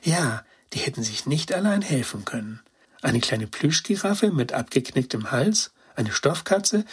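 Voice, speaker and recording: An earnest young dog girl from a children's storybook, voiced by a man, speaks with childlike excitement. The voice is very breathy and husky, with lively, swinging intonation. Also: medium pitch, heavily acted. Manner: childlike, excited storytelling with naive colloquial words. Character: Gretine, young female toy-rescuing dog, earnest and compassionate.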